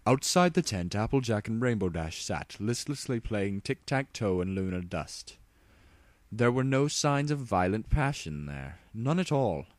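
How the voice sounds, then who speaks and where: A man narrates a comic story in a fairly low voice. He is mock-dramatic and wry, slipping between reading and his own commentary. The recording is dry, with little room sound. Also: sing-song, a little slow, dry recording.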